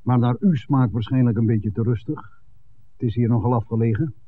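An actor playing a middle-aged village innkeeper, courteous, guarded and inquisitive, in a 1960s Dutch radio play. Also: male voice, medium pitch, fairly quick, close to an everyday voice. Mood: curious, guarded. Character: polite, reserved village innkeeper, a father born locally. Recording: thin, phone-like 1960s radio recording.

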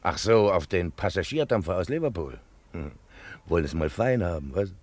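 A man's voice: low voice, lively intonation, normal speed, darkish tone, husky. An actor playing a worried, talkative harbour local in a German radio play, politely insistent and grumbling as he cautions. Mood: sceptical.

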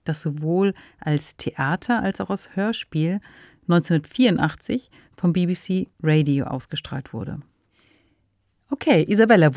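Very low-voiced woman hosting a podcast. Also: dark tone, no echo. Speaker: podcast host. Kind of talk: scripted podcast introduction. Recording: dry studio sound over music. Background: faint music.

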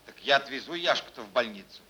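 Stubborn young bakery hand for stage drama: medium pitch, bright edge, blunt and restrained. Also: male voice, lively intonation, quick pace, slightly rough, exaggerated voice, poor audio. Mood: firm, restrained. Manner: terse, plain, restrained. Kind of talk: theatrical dramatized prose performance.

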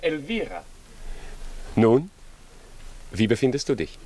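An actor playing a considerate, earnest gentleman husband in a 1950s German radio play, speaking in a measured way. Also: medium pitch, big pitch swings, poor audio. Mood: wondering.

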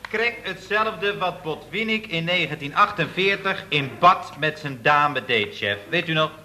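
An actor playing a deferential, pedantic assistant in a radio comedy serial, dutiful and precise, on a noisy recording. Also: male voice, on the high side, animated, a little slow, sharp tone, exaggerated voice. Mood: earnest, pedantic.

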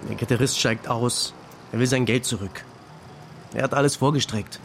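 A man's voice: medium pitch, steady tone, moderate pace, vocal fry, over music, lightly acted. An actor playing a grumbling, fed-up and jittery man in a German radio crime drama. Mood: exasperated.

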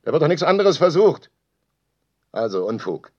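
An actor playing a defensive, volatile sound artist in naturalistic radio drama, argumentative and given to ranting and swearing. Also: male voice, a little high, big pitch swings, moderate pace. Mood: argumentative, earnest. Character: defensive, volatile male sound artist who records everything. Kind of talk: naturalistic radio play dialogue. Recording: dull, lo-fi home tape sound.

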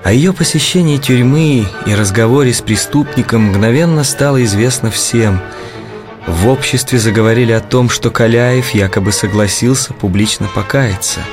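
A man narrating a religious historical audio play from a script in a solemn, measured way. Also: mid-range voice. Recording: clear music bed under narration.

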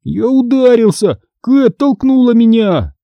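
A man's voice: extremely high pitch, animated, a little slow, gravelly, no room sound, dull audio, pitched above the performer's own voice, exaggerated voice. A man voicing a whiny, grabby little brother in a storybook, full of exclamations. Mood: whining. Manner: whining, exclamatory.

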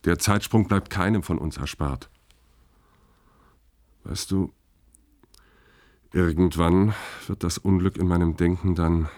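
An actor playing a haunted, grieving spaceship crewman in a radio play, speaking in a subdued, halting way. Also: deep voice, darkish tone, no room sound, studio quality. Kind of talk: intimate radio play dialogue.

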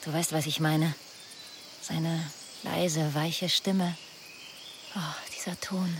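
A jilted, still smitten woman in a radio play. Her low voice confides uncertainly, easily swayed. Faint outdoor noise with crickets sits behind her. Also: little pitch movement, slow. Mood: dreamy, confiding. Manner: confiding, unsure. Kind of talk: radio drama dialogue.

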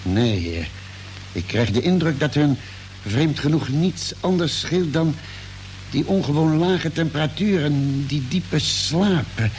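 A man playing a calm, caring spaceship doctor with a measured, deliberate way of explaining, in a vintage Dutch radio drama. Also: a little high. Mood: thoughtful, explaining. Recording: noisy old radio drama recording.

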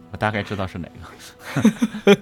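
A man hosting a listening session, chatting casually as he comments live. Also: fairly low pitch. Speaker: host of audio drama listening session.

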